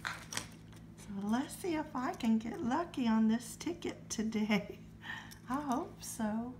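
Woman vlogger, high voice, unhurried, a bit hoarse, reads numbers aloud. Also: slight background noise. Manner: friendly, calmly reads out numbers. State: slightly scratchy throat. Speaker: lottery ticket scratching vlogger. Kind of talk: casual to-camera chat while scratching tickets.

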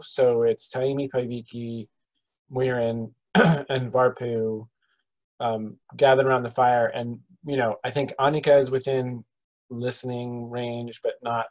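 A man of middle pitch narrates as game master over a video call. He sets the scene in a halting way, with many pauses and restarts. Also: a little mellow, poor audio. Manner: hesitant, full of ums and restarts.

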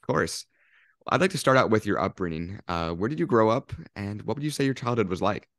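A young man hosting a podcast interview over a video call, asking curious, upbeat questions into a studio mic on a boom arm. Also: lively intonation, a little fast, no echo. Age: young adult. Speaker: podcast host. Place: home room on a video call.

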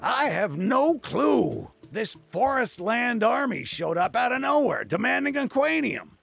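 Very creaky, raspy old mayor. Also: male voice, very high voice, big pitch swings, a little slow, fairly bright tone, faint music behind, exaggerated voice. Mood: shaken. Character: weary, earnest old male desert-town mayor. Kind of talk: animated video game cutscene acting.

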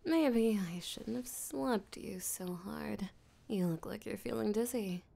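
Slow, creaky, seductive vampire voiced by a woman. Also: mid-range voice, dark tone, exaggerated voice. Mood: mock concern, smug. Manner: breathy, teasing, flirtatious, slow and deliberate. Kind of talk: intimate roleplay audio drama, spoken to the listener.

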